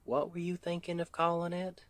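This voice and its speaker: A man's voice: high voice, monotone, normal speed, dry recording, close to an everyday voice. A man playing a sweet, weary Southern US husband and roofer in an intimate ASMR roleplay, with an affectionate, teasing, folksy drawl. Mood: curious, affectionate. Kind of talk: intimate ASMR roleplay, one side of a conversation.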